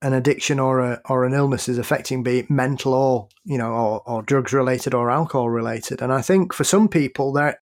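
A man's voice: medium pitch, brisk, few pauses. A British man, a podcast guest, speaking in a hesitant, reflective way. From United Kingdom. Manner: hesitant, reflective, many restarts. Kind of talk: casual podcast chat.

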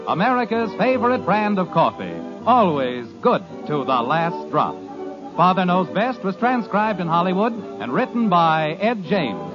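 A man announcing and reading coffee ads on a 1950s radio show, with a polished, emphatic sales delivery. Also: high voice. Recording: dull lo-fi 1950s radio recording.

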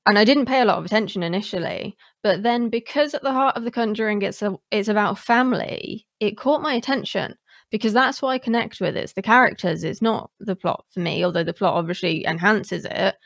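A woman's voice: medium pitch, brisk, vocal fry, fairly bright tone, dry recording, studio quality. A woman hosting a podcast interview in a casual, conversational way, with informal and emphatic phrasing.

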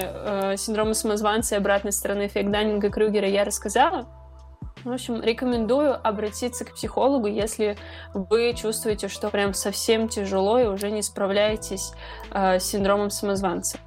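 A woman's voice: medium pitch, a little mellow. A woman speaking as a podcast guest or presenter, explaining things in an informal, colloquial way.

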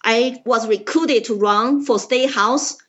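A woman with a Chinese accent tells her story in a slightly high voice, earnest and forceful in her emphasis. The audio is dull and lo-fi, as if muffled. She speaks in plain, direct sentences. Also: slow pace. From China. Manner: earnest, emphatic, simple grammar. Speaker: political candidate, guest. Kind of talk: interview storytelling.

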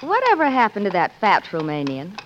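A woman's voice: mid-range voice, huge pitch swings, brisk, slight background noise. An actress playing an ambitious, romantic newspaperwoman, earnest and witty, in 1940s radio drama style. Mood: playful, tender. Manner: earnest, dramatic, clipped wit. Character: ambitious, romantic American newspaperwoman torn by love. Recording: muffled 1940s radio recording.

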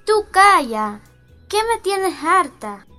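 A bossy old grandmother, put on by a performer in a comic fairy-tale drama. Her voice is extremely high, cranky and scolding. Faint music plays behind her. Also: big pitch swings, takes it slowly, sharp tone, full character voice.

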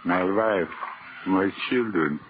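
Slow, deep, vengeful drowned ghost. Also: male voice. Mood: taunting. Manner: slow, taunting, mock-friendly. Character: vengeful drowned man haunting his killer, slow and menacing. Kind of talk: 1940s radio horror acting. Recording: muffled, noisy old radio recording.

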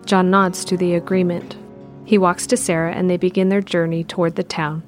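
A woman's voice: on the low side, little pitch movement, a little fast. A woman reading scripted narration for an audio drama. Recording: music behind the voice.

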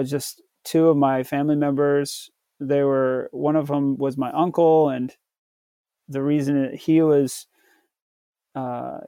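An American man from Tennessee telling his personal story as a podcast guest, in a halting, reflective way with frequent pauses mid-sentence. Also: medium pitch, dark tone, no room sound. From southern United States, Tennessee.

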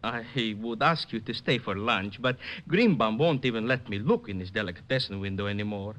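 An actor playing a sly Russian artist who sells gossip, theatrical and wheedling, with a Russian accent, in an old radio drama. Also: male voice, lowish voice, brisk, a bit bright, natural voice. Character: sly, grubbing Russian male artist and informant. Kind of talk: 1940s-50s radio comic character acting.

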